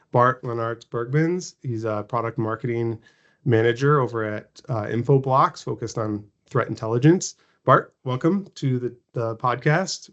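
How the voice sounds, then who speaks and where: A man's voice: medium pitch, lo-fi sound. A man hosting a podcast, talking in an enthusiastic, conversational way. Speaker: podcast host. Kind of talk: podcast interview.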